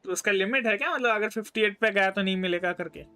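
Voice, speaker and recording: Very fast-talking Indian game streamer. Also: medium pitch, sharp tone, clear, resonant tone, soft background music. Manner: code-switches between Hindi and English. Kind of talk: casual live gameplay commentary.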